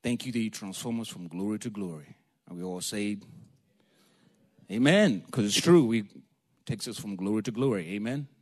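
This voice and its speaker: A man's voice: lowish voice, normal speed, long pauses. Man preaching a sermon. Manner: lively, joking, storytelling, calls for amen.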